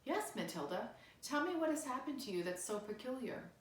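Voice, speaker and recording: A kind schoolteacher from a children's storybook, played by a woman reading aloud, speaking with gentle patience. Her delivery is measured, attentive and questioning, heard in a roomy, echoing space. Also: on the high side, sing-song, voice pushed up.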